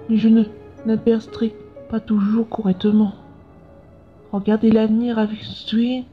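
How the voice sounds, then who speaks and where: Curious scientist seer for a comic dub, female actor: high-pitched, explanatory, slightly dull recording. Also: lightly acted. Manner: explanatory, reflective. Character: earnest, curious scientist with visions of the future. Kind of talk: dubbed comic narration and dialogue. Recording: dull lo-fi sound with music behind.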